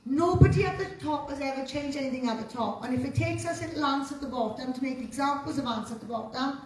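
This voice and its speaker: Forceful plain-spoken woman, high voice, giving a talk in a big echoey hall, mic far away. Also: quick pace, slight background noise. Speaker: presenter. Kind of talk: public talk and Q&A. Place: chapel hall.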